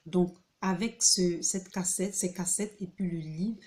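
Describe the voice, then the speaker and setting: A woman talks through a casual video tutorial at an unhurried, drawn-out pace, her voice sitting a little low. She hesitates often, fills gaps with filler sounds and restarts her sentences. She keeps checking in with her listeners as she goes. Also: steady tone, darkish tone. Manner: repeats key points, checks in with "ok?". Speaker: video host presenting a pronunciation book. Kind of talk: casual spoken tutorial to camera.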